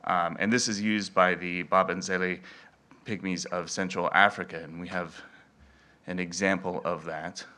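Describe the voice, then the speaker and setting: A man giving an informal presentation on music, halting a little as he thinks his way through. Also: sits low, little pitch movement, bright, forceful tone, slight background noise. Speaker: event presenter.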